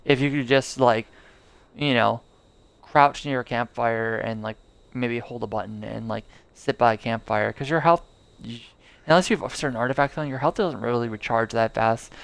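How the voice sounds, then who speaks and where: A man's voice: medium pitch, moderate pace, creaky, fairly bright tone, smooth, no echo. A young man streaming a game live, rambling casually with lots of fillers and restarts. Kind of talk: casual live gameplay commentary.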